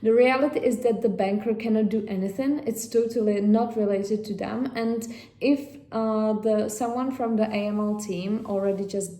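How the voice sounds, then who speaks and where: A woman from Czechia with a Czech accent, chatting casually to viewers as a vlog host in a rambling, halting way. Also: mid-range voice, steady tone, dark tone. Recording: echoey room.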